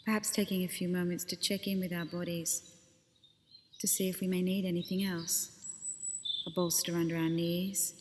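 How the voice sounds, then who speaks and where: A woman leading a guided body scan meditation as a teacher, speaking gently and unhurriedly with pauses between phrases. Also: fairly low pitch, level tone, big-room sound, noisy background.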